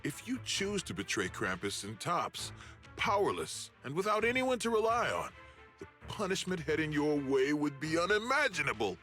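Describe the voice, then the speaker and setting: A man's voice: on the high side, big pitch swings, normal speed, sharp tone, faint music behind. An actor voicing a scheming, arrogant male corporate enforcer who taunts and threatens in a game cutscene. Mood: threatening. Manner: mocking, taunting.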